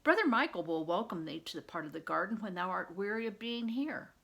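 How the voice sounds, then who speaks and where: A woman's voice: mid-range voice, lively intonation, brisk, creaky, fairly bright tone, breathy edge, full character voice. An older woman reading the part of a kindly medieval friar in a storybook, with archaic, reassuring, instructive speech. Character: kindly medieval friar, a man caring for a boy.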